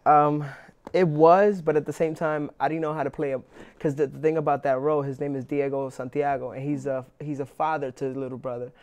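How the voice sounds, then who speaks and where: A man's voice: on the high side, clean tone. A young man, an actor being interviewed, talking casually with lots of restarts and fillers. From New York, Inwood. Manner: relaxed, halting with many restarts and fillers.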